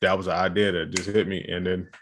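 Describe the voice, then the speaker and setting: A man's voice: low-pitched, little pitch movement, fast talker, a bit bright, slight background noise. A man co-hosting a podcast, chatting casually in slangy, laid-back banter. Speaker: podcast co-host. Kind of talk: casual chat.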